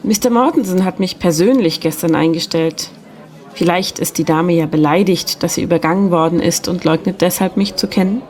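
Woman playing a friendly secretary, lowish voice. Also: fairly quick, darkish tone, lightly acted. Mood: gently teasing. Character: friendly, gently teasing young female secretary, slightly mysterious. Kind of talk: German audio drama dialogue.

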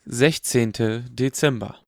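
A man voicing an impersonal chatroom system that makes flat announcements in an audio drama. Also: mid-range voice, slow pace, gravelly. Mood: neutral.

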